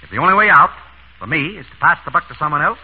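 An actor playing an urbane, wry surgeon turned amateur sleuth in an old-time radio drama. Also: male voice, a little high, expressive, very fast, close to an everyday voice. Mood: cool, pointed. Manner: urbane, wry, composed under threat. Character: suave, quick-witted male surgeon turned amateur sleuth. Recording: tinny, noisy vintage radio recording.

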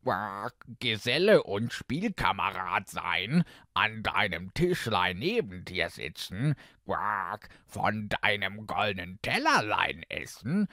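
A man voicing a bargaining, pleading frog in a fairy-tale audiobook, drawing out his words with croaking quacks. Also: lowish voice, big pitch swings, bright, forceful tone, no echo, studio quality, heavily acted. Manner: drawn-out, punctuated by croaking quacks.

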